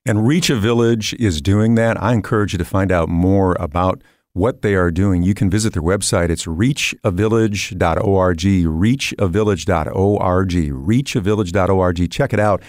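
Low-voiced host promoting a ministry. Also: male voice, normal speed, a little mellow, no room sound, clean studio sound. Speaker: broadcast host.

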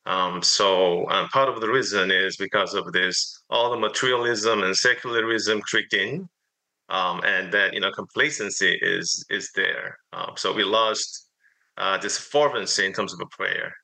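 A middle-aged man from South Korea speaks. His low voice has a gravelly fry and a sharp, bright edge. He answers as a pastor in an interview, hesitantly and with frequent fillers. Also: a little slow, low-quality recording.

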